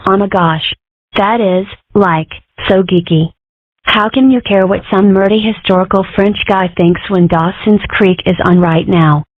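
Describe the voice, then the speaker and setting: A woman's voice: mid-range voice, a little slow, no echo, close to an everyday voice. A woman voicing a cheeky, mischievous young animal girl in a comic dub, with a teasing, flippant, casual manner. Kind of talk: comic strip dub, character acting. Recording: muffled, dull, dry audio.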